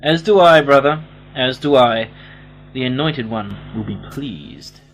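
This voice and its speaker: A man's voice: medium pitch, lively intonation, unhurried, natural voice. A man playing a solemn, reverent leader of a secret cult in an amateur sci-fi radio play. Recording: distant, faintly noisy home-made recording.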